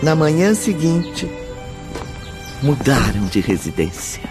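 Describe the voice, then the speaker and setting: A man's voice: fairly high pitch, very animated, slow pace. A man with a Brazilian accent delivering dramatic scripted narration. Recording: music under the voice.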